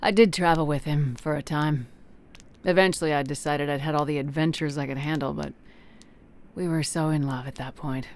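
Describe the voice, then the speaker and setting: A woman's voice: low voice, fairly even intonation, moderate pace. A woman playing a brave, loving adventurer and wife in a fantasy audio drama. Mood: fond.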